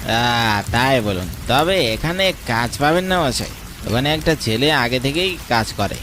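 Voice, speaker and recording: A man's voice: mid-range voice, lively intonation, measured pace, lightly acted. A man voicing a wary, fearful tea-stall keeper who is brusque, hesitant and questioning in a cartoon story. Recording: clearly noisy, rain and thunder behind.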